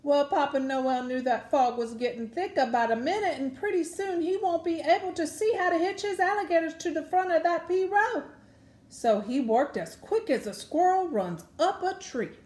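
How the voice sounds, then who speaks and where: A woman's voice: high voice, lively intonation, normal speed, a little mellow. A woman storyteller reads to children. Her delivery is folksy and dramatic, with words drawn out for effect. The room adds a noticeable echo. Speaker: storyteller reading a children's book aloud. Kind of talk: animated Cajun folk storytelling. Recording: roomy echo around the voice.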